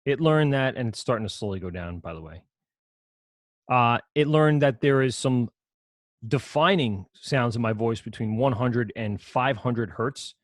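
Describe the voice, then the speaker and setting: Low-voiced man teaching audio software. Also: measured pace, dry recording, clean studio sound. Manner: casual, rambling, self-correcting explanations. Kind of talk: screen-share software lesson.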